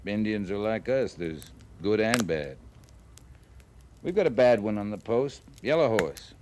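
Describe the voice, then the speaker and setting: Male cavalry captain, old radio western. Very slow, measured delivery, laconic and courteous, faint hiss. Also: lowish voice, expressive, a little mellow. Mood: calm, courteous. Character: steady, terse frontier cavalry captain, male. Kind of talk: 1950s radio western acting. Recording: noisy old radio drama recording.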